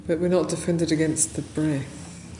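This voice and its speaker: A woman leading a guided meditation as a teacher, speaking gently and unhurriedly in short phrases with long pauses. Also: sits low, fairly even intonation. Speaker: meditation teacher, Buddhist nun. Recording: roomy echo, faint background noise.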